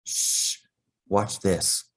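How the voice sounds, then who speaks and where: A man's voice: very slow, fairly bright tone. A man voicing a proud, mournful female cat who likes to be a loner, in a children's storybook reading. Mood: whispering, aloof.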